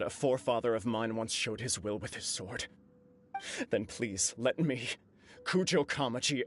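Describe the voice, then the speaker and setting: A man's voice: medium pitch, fairly bright tone, lightly acted. A man voicing a remorseful young clan heir. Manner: earnest, self-reproaching. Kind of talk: game cutscene voice acting.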